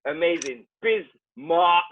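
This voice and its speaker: A man's voice: fairly high pitch, expressive, slow pace, fairly bright tone. Upbeat man on a tinny call. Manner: upbeat, hypes up guests. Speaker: birthday host on a video call. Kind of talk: casual chat. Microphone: video call. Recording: tinny phone-like video call audio.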